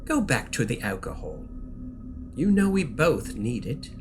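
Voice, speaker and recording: A man's voice: medium pitch, very animated, measured pace, music in the background. A man playing a menacing, controlling knight from a nightmare, speaking in a theatrical, threatening way in an audio drama. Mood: sinister, taunting. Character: menacing dream knight, a dark, controlling male apparition.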